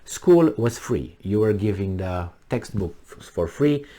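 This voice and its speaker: A middle-aged man from Romania, talking casually to his subscribers in a halting way with many pauses and fillers. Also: on the low side, animated, mellow. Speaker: vlogger. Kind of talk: casual monologue to camera.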